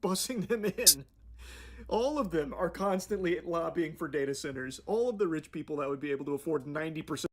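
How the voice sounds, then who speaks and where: A man's voice: fairly high pitch, animated, fairly quick. A man giving casual, opinionated commentary in a sarcastic, scornful way with some swearing.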